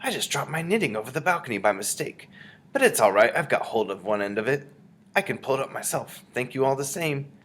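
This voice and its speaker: A man voicing an affectionate, composed old grandmother in a storybook reading, speaking with gentle emphasis. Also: mid-range voice, wildly swooping pitch, fast talker, breathy edge, voice pushed up, full character voice. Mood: cool, composed.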